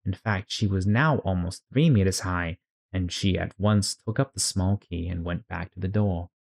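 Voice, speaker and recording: A storyteller reading a children's classic aloud as expressive narration for a read-along. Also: low-pitched, moderate pace, gravelly.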